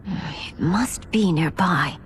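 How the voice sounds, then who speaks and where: An actress playing a proud, fierce and resolute warrior princess in a cinematic video game. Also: female voice, lowish voice, takes it slowly, a little hiss or hum. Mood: determined.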